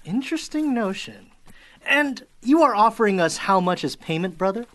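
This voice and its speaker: A smooth-talking, greedy rogue, acted by a man in a fantasy audio drama. He is wordy and theatrical, and his pitch swings widely through each phrase. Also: sing-song, moderate pace, a bit bright. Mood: slyly haggling. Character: glib, greedy roguish adventurer, leader of a band.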